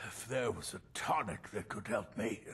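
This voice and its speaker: A man's voice: on the low side, moderate pace, gravelly, a bit bright, a little hiss or hum. A man playing a frail, stubborn old alchemist in a video game, unwell and prone to coughing. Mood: weary, stubborn. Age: older. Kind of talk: video game character dialogue.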